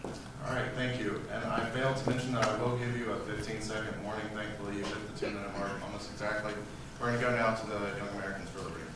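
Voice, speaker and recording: A soft-spoken man moderating a debate, explaining the rules and reading questions with frequent fillers. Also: lowish voice, flat delivery, fast talker. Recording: echoey hall, from far off, noisy.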